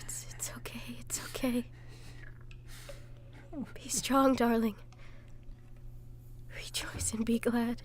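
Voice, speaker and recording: A woman playing a battered, devout wife who soothes softly in a breathy, hushed voice in an audio drama. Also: on the high side, flat delivery, takes it slowly, long pauses, whispered. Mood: gentle, devout. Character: battered, gentle, devout young wife held captive.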